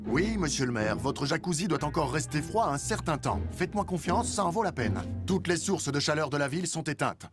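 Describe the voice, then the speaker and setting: A commanding, middle-aged rescue chief and father in a children's cartoon, acted by a man. He sounds firm and authoritative, pitched a little high. Loud music plays behind him. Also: animated, fairly quick, few pauses, natural voice. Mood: reassuring.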